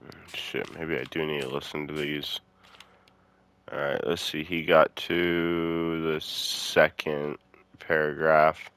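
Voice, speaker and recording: Deep, unhurried male gamer, crisp voice. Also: level tone, a bit bright. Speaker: gaming video host. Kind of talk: casual gameplay commentary.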